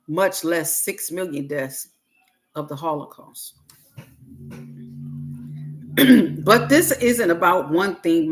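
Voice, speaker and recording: A woman reading a self-help book aloud on a livestream, in deliberate, halting phrases with pauses. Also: lowish voice, fairly bright tone.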